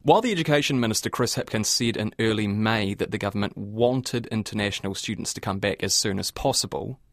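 A man's voice: fairly low pitch, a little fast, no room sound, studio quality. A man with a New Zealand accent, working as a reporter, narrating and interviewing in a conversational news style. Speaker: reporter and presenter.

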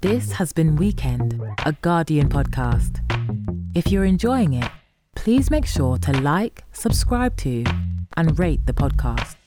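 Lively low-voiced female podcast presenter over music. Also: takes it slowly. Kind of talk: scripted podcast outro.

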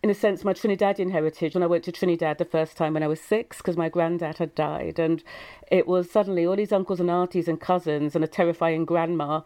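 A woman's voice: on the low side, steady tone, quick pace, warm tone. A woman from England with an English accent, an author thoughtfully reflecting in an interview. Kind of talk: interview storytelling.

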